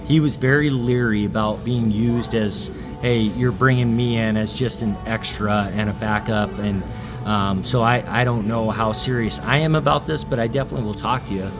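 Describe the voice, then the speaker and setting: A man's voice: mid-range voice, normal speed, music in the background. A man speaking as a sports talk guest, giving his analysis in casual conversation.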